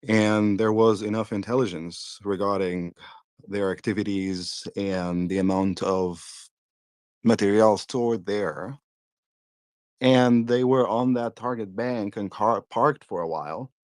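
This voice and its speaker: Male guest analyst, lowish dry voice, steady and hesitant delivery. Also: no echo. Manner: measured, hesitant with fillers. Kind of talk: casual analysis.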